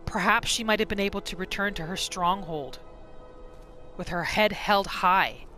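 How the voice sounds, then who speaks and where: A woman hosting a gaming roleplay series, giving expressive playthrough commentary and telling her character's story. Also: mid-range voice, moderate pace, faint music behind. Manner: expressive, exclamatory reactions.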